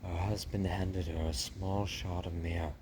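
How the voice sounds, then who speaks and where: Male narrator for children's fiction: very low, unhurried, deliberate, clearly noisy recording. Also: flat delivery. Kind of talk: dramatic storybook narration.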